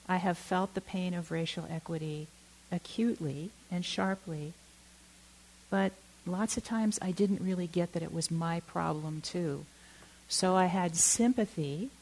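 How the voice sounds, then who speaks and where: A middle-aged woman from Oakland giving a reflective talk, halting and candid, with many fillers and self-corrections. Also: on the low side, darkish tone, rough recording. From Oakland, California. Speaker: dharma talk speaker.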